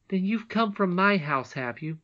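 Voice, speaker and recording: A woman's voice: lowish voice, expressive, normal speed, a bit bright, highs cut off, voice pushed up. A woman voicing a sweet, trusting young princess in a storybook, earnest, emphatic and childlike. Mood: eager. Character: sweet, trusting young princess, little girl, eager and polite.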